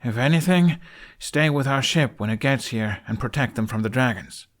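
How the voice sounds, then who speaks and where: A man voicing an anxious, commanding young dragon rider in an audiobook, with short, sharp delivery. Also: mid-range voice, moderate pace, pitched above the performer's own voice, natural voice. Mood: firm. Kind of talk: audiobook character acting.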